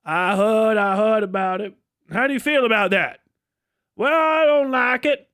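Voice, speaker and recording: Man acting wary old-time TV interviewee, high-pitched, halting, folksy, grudging fight fan, dry sound. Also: sharp tone, smooth, no echo. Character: wary mid-century fight-goer interviewed on old television.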